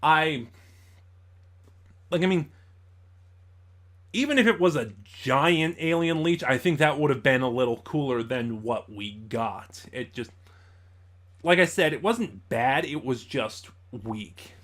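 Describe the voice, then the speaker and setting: A man with an average-pitched voice gives a casual spoken review. He talks in a conversational way, often halting to think aloud. He comes across as an informal online host sharing commentary. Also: animated, a bit bright.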